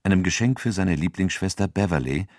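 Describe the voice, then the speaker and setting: A male narrator with a deep, low voice reads scripted literary prose. His delivery is measured and sober. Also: darkish tone, dry recording, clean studio sound.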